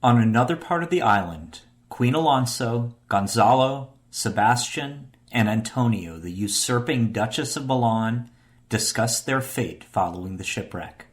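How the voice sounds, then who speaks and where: A man's voice: medium pitch, animated, unhurried, close to an everyday voice. A man reading scripted scene-setting narration for a radio play.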